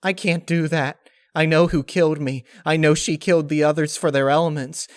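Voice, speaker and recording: Guy doing a worried mom pony in a fanfic reading, higher voice, earnest, pleading but determined. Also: fairly even intonation, normal speed, smooth, dry recording, studio quality, natural voice. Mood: earnest, upset. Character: troubled, determined adult female pony, motherly.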